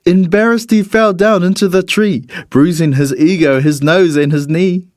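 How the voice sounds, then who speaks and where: A man reads a rhyming children's storybook in an expressive, measured storytelling manner. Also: high voice, no echo, studio quality. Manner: expressive, measured storytelling in the character of a bird narrator. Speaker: storybook reader.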